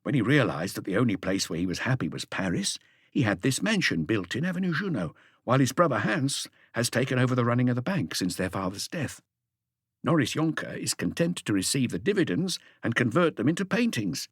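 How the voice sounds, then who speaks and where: Man reading as an earnest, animated young police inspector. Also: mid-range voice, a little fast, slightly rough, no echo, studio quality. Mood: earnest reporting. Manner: detailed, deferential reporting with asides. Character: thirty-five-year-old male police inspector, earnest, eager, slightly awkward.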